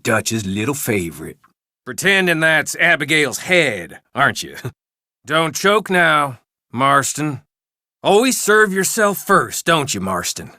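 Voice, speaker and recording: A contemptuous Old West outlaw for a video game. His taunts are unhurried and swing widely in pitch, with a forceful, cutting tone and a dry, close sound. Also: no room sound, full character voice. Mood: sneering. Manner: mocking, insulting, drawn-out taunts. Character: mean, sneering male Old West gang outlaw bullying his companions. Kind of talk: video game character barks.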